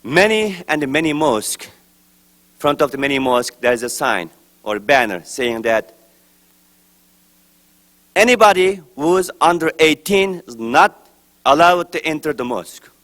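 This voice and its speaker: A man from Turkestan, giving a formal prepared speech at a press event, slow, deliberate and emphatic, his phrases trailing off at the ends. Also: a little high, animated, fairly bright tone. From East Turkestan.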